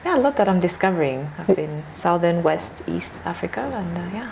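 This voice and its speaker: Lowish-voiced woman guest, open and chatty. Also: animated, a little fast, gravelly, darkish tone, slight background noise, rough recording. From France. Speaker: guest, gender specialist. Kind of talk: casual interview conversation.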